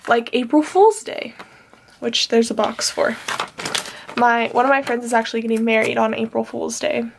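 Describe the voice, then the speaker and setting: A woman's voice: fairly high pitch, steady tone, moderate pace, gravelly, a little hiss or hum. A young woman with a nasal, stuffed-up voice, chatting casually and rambling with fillers while she plans. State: nasal from allergies. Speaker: planner vlogger. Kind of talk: casual plan-with-me chat.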